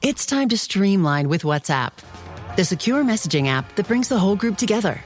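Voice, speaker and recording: Lively woman reading an ad. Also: medium pitch, fairly quick, faint music behind. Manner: bright, lively promotional delivery. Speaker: ad announcer. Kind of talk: scripted radio ad read.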